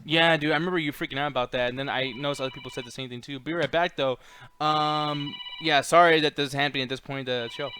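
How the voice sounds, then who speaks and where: A man's voice: a little high, fairly even intonation, fast, bright, forceful tone, a little hiss or hum. A man hosting a casual chat show, moderating and moving the discussion along. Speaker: show host.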